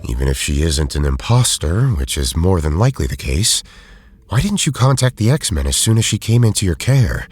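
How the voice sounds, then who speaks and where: Male, exasperated learned hero; low, husky, swinging intonation, dry sound. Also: very animated, normal speed, darkish tone, no room sound, full character voice. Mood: indignant. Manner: exasperated, articulate. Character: indignant, articulate male superhero scientist. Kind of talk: audio drama acting.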